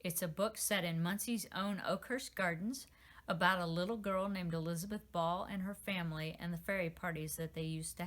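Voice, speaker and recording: A woman, the author, reading her children's storybook aloud with gentle, expressive storytelling. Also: medium pitch.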